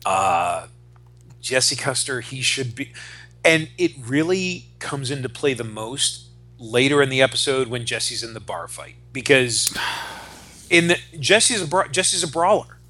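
A man's voice: medium pitch, lively intonation, a little slow, bright, forceful tone. A man in his forties co-hosting a casual pop culture discussion, speaking emphatically, repeating phrases for stress and swearing freely. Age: 40s. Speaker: podcast co-host.

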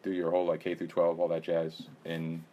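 A man's voice: deep voice, completely flat delivery, a little fast, dark tone, muffled recording, a little hiss or hum. A man hosting a podcast interview in a casual, rambling way, hedging and laughing often. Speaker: podcast host.

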